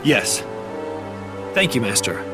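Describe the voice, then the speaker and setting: An actor playing a strong-willed but troubled young warrior in a video game, speaking with earnest intensity. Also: male voice, medium pitch, very animated, slow pace, over loud music. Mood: respectful.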